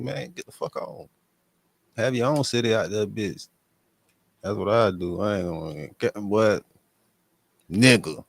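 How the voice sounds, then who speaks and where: A man's voice: lowish voice, gravelly, no room sound. A man doing casual, profane, slangy reaction commentary in a blunt, repetitive way.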